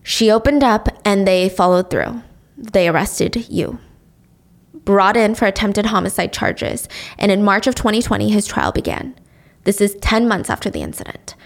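A young woman hosting a podcast, telling a true-crime story in a casual, animated way and acting out the quotes. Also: mid-range voice, moderate pace, vocal fry. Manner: chatty, animated, acts out quotes with shifts in tone. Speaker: podcast host.

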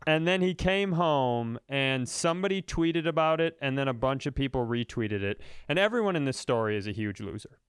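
A man's voice: mid-range voice, animated, normal speed, few pauses, smooth, dry recording, clean studio sound. An American man co-hosting a comedy podcast, ranting casually in a blunt, profane, mocking way.

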